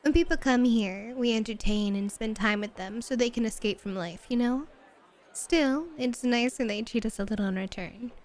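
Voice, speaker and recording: Casting: actress for flirty female club hostess in roleplay audio, higher-pitched, coy and teasing, drawn-out delivery. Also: a little mellow, some chatter behind, close to an everyday voice. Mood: flirty, warm. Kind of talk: flirty ASMR roleplay acting.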